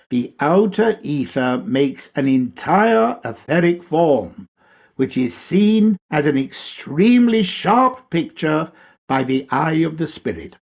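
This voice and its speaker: Husky, slow-spoken man reading a lecture. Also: fairly high pitch, a little mellow. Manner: deliberate, instructive, emphatic phrasing. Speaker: reader of a lecture. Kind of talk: read-aloud esoteric lecture.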